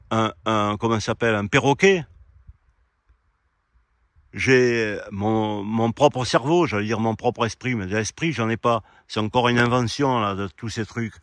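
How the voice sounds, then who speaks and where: A man giving a rambling, argumentative opinion monologue, speaking directly to his listeners in an indignant way and halting to search for words. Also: lowish voice, fairly bright tone, dry recording. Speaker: amateur video commentator. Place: quiet spot outdoors.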